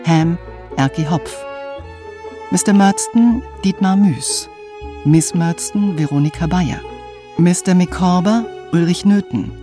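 Violin music plays under a formal radio announcer. The delivery is very slow and deliberate, reading scripted titles and cast credits at a medium pitch. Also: warm tone.

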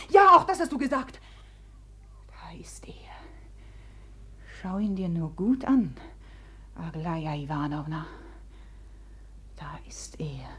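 A high-pitched, proud young woman of a classic radio drama. She is imperious and theatrical, tormented and close to hysteria. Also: fairly bright tone. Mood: bitter, challenging. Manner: imperious, theatrical, swinging from commands to whispers. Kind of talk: German literary radio drama acting.

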